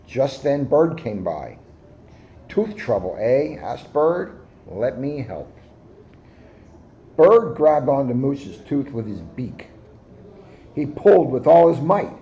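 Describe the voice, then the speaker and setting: A man reading a children's picture book aloud in a deliberate, expressive storyteller manner, with slightly muffled sound. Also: medium pitch, slight background noise. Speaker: guest story reader, police officer.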